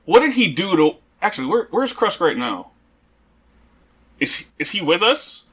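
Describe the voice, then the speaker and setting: A man's voice: high-pitched, big pitch swings, normal speed, a bit bright, slightly rough. A man playing a tabletop roleplaying game, speaking casually and conversationally in character.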